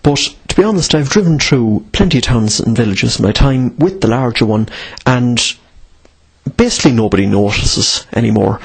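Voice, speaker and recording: A man's voice: mid-range voice, creaky, breathy edge, low-quality recording. A man presents an informal instructional talk, often posing questions and answering them himself, repeating key points for emphasis. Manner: asks and answers own questions, emphatic repetition. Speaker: presenter of instructional radio videos.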